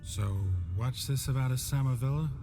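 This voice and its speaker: An actor playing a gruff, weary monster hunter in a video game, speaking in terse, deadpan phrases. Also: male voice, medium pitch, normal speed, close to an everyday voice. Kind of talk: dramatic video game dialogue. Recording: game music behind the voice.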